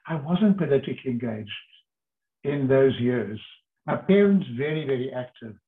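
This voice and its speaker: An older man from South Africa, a lawyer, telling his story in an earnest, measured way with pauses. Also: medium pitch, animated. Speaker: interviewee, lawyer. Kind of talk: reflective storytelling. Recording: tinny phone-like line.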